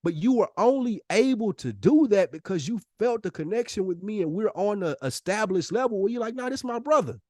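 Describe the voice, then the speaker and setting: A man's voice: high voice, animated, brisk, few pauses, mellow, dry recording, clean studio sound. An American man co-hosting a podcast in casual, slangy, emphatic talk, often acting out imagined dialogue. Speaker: podcast co-host.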